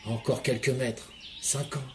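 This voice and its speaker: A man leading a guided relaxation session in a calm, measured voice, speaking directly to the listener. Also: medium pitch, background noise. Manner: calm, measured second-person guiding. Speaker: hypnotherapist guide. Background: soft background music.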